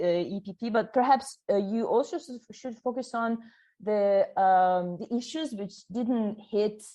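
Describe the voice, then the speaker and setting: A young woman speaks with a Hungarian accent at a middling pitch. She sets out questions at an academic seminar, courteous and a little halting as she orders her points. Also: warm tone, dull audio. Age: young adult. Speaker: audience questioner, PhD student.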